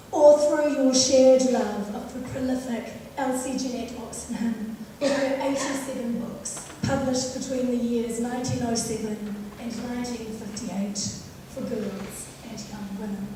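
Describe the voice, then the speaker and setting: A hoarse woman in her fifties giving a composed, reflective funeral eulogy at a church lectern, with a wry touch. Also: fairly high pitch, dark tone, distant mic, slight background noise. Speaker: eulogist, eldest daughter. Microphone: microphone at a lectern. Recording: echoey hall, picked up from afar.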